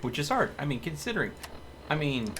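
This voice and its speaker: A man's voice: a little high, big pitch swings, fairly quick, a bit bright. A man giving casual live commentary as he streams a video game. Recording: faint background noise.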